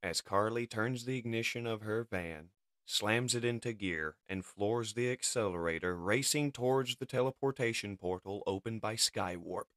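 A man's voice: fairly low pitch, moderate pace, fairly bright tone, no room sound, studio quality. A man reading dramatic scripted narration of a comic-book story.

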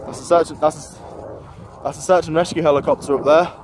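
A man's voice: fairly high pitch, steady tone, fairly quick. A man, rambling and correcting himself while vlogging on a walk. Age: 20s. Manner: rambling, many restarts and self-corrections. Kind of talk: rambling casual vlog. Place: outdoors on a windy grassy embankment. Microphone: phone held at arm's length. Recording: phone audio with loud wind noise.

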